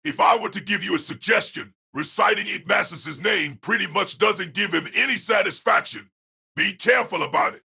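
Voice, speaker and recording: A man playing a brusque, commanding young fighter in an audio drama, with a raspy, gravelly, urgent voice. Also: high voice, moderate pace, exaggerated voice. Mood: stern warning. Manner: forceful, urgent, barking. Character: brusque, commanding young male fighter, wounded but composed.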